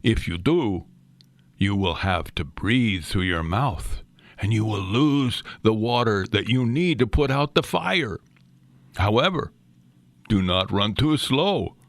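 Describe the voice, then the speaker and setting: A man's voice: mid-range voice, lively intonation, takes it slowly, vocal fry, no echo. Man putting on a pompous Scottish club commander. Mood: mock solemn. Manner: mock-pompous, formal, instructing. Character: bossy boy club leader playing solemn Scottish drill master.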